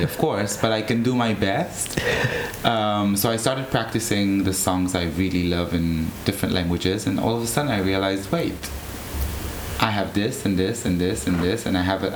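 A man speaks casually as an interview guest, his voice sitting fairly low. He talks in an animated way, laughs easily and often restarts his sentences. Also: noisy background. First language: Hebrew. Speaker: drag performer guest.

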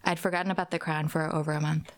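Young woman from Norway, soft-spoken interview guest, slightly low voice, unhurried with pauses. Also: level tone. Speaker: guest performer. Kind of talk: casual interview.

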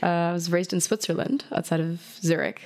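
Interview guest from Germany; lowish voice, relaxed, reflective answers. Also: female voice, a little fast. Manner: relaxed, thoughtful, laughs lightly. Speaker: guest, drag performer.

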